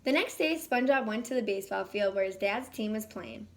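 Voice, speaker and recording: A woman reading a children's picture book aloud in an expressive, animated way. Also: mid-range voice, brisk, vocal fry, slight background noise. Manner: animated, acts out dialogue. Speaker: children's read-aloud storyteller.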